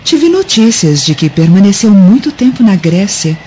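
Woman acting young Roman temptress, sly, flattering, coy, mid-range voice, radio drama, dull recording. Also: mid-range voice, moderate pace, slight background noise, close to an everyday voice. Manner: coy, insinuating.

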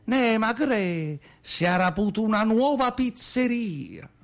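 A man playing an earthy, feisty old Southern Italian woman who tells her memories in expressive Neapolitan dialect, in a theatrical radio monologue. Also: high voice, unhurried. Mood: fond, animated. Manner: expressive, colloquial dialect storytelling. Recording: prominent acoustic guitar music behind.

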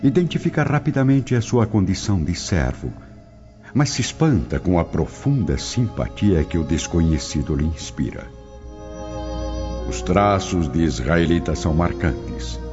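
A man with a very low voice narrates a radio drama solemnly. His delivery is expressive and melodic, set over clear music in a slightly dull recording. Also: a little slow.

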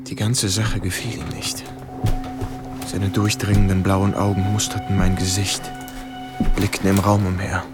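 Casting: anxious young soldier bluffing as an officer, radio play, lowish voice, music bed. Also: male voice, level tone, unhurried. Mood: reflective, tense. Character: cornered young American soldier John, anxious, pleading, posing as German officer. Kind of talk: radio drama acting and first-person narration.

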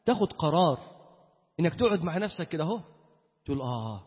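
High-pitched Egyptian preacher in an echoing hall. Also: male voice, very animated, quick pace, slightly rough. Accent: Egyptian Arabic. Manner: emphatic, repeats key phrases. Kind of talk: Friday sermon with recitation and supplication. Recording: echoey hall with long reverb, dull sound.

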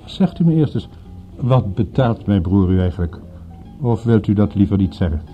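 An actor in a Dutch radio play voicing a middle-aged father and businessman, formal, calculating and deliberate in manner. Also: lowish voice, lively intonation, natural voice. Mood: guarded, probing. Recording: tinny narrow-band old radio, faint music.

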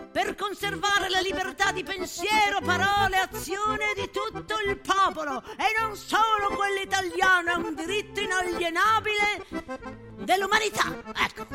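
A woman's voice: very high-pitched, fairly even intonation, measured pace, voice pushed up. A woman playing a fiery male political demagogue, declaiming emphatically in a theatrical stage monologue. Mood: fervent, strident. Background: music.

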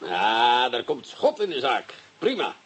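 An actor playing a brisk, commanding police commissioner in a radio drama. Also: male voice, medium pitch, huge pitch swings, husky, exaggerated voice. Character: bossy, scheming male police commissioner.

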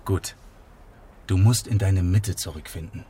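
Pompous, low-voiced placating man. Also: a little slow, heavy background noise. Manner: formal, stilted, placating. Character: pompous, soothing male companion acting as self-styled legal representative. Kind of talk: naturalistic German radio drama acting.

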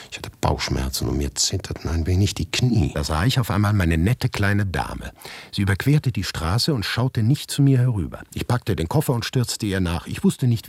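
A morbid, harried writer in a literary radio play, speaking in a very low, husky voice. The delivery is deliberate and dry, sometimes sinking toward a whisper, recorded close and without any room sound. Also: male voice, darkish tone, no room sound, full character voice. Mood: disgusted, strained. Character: morbid, harried male writer recounting his grim predicament. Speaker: actor narrating as the protagonist. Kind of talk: literary radio drama monologue, first-person narration.

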